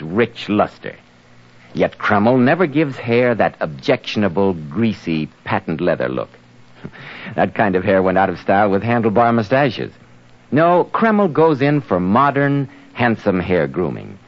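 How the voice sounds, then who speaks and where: Low-voiced, animated 1940s radio pitchman. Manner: polished, emphatic, persuasive. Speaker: radio announcer reading sponsor ad. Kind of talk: 1940s radio announcing, scripted sales pitch. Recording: dull 1940s radio recording.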